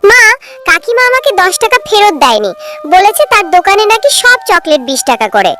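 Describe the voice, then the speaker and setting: Very high, plaintive little cartoon girl voiced by a woman. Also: big pitch swings, a little fast, pushed and bright, soft background music, heavily acted. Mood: hurt, plaintive. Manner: plaintive, childlike. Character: small, sweet, plaintive girl child who loves chocolate. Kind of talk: cartoon voice acting.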